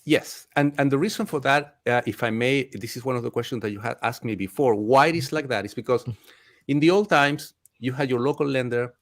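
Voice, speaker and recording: A man's voice: medium pitch, brisk. A man from Chile, a mortgage expert talking casually as a guest, stressing points by repeating phrases. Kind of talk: casual conversation.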